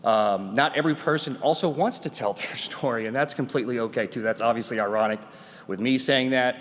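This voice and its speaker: A man in his thirties from Tennessee giving a loud, earnest patient talk at a conference, with many "uh"s. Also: mid-range voice, brisk, a little hiss or hum. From Nashville, Tennessee. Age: 30s. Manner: loud, earnest, filler-heavy. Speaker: patient advocate speaker. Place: conference hall. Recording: reverberant conference hall.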